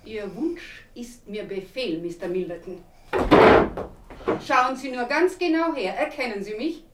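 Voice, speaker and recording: A woman's voice: on the high side, expressive, unhurried, very noisy. Cold, vengeful woman, distant. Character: veiled, coldly vengeful woman confronting her blackmailer. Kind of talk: radio drama acting. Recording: distant, echoey room in radio drama.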